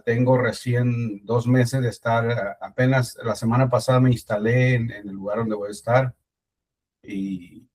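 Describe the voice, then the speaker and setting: A man from El Salvador, a church planter, introducing himself haltingly on a class video call. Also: medium pitch, darkish tone.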